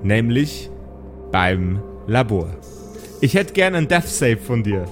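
A man's voice: medium pitch, sing-song, slow, soft background music. A man improvising narration as the game master of a tabletop role-playing audio play.

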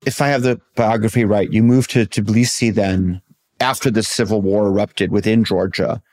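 A man's voice: on the low side, steady tone, moderate pace, a little mellow. A man hosting a podcast, reading a polished scripted introduction and then asking courteous interview questions. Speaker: podcast host.

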